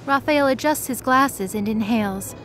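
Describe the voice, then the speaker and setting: A woman's voice: a little high, normal speed, noisy background. A woman narrator reading scripted present-tense story narration for a fantasy audio drama.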